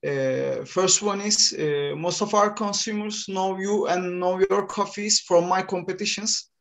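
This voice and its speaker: A man's voice: high voice, a little slow, creaky, fairly bright tone. A man from Turkey, a coffee roaster, hosting a live-stream interview and asking questions in careful English with fillers. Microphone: video call.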